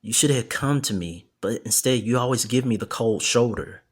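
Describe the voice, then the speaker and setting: A man's voice: medium pitch, normal speed, husky, lightly acted. A man playing a principled, protective martial arts mentor, earnest and firm, in a dramatized audio story. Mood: pleading, earnest.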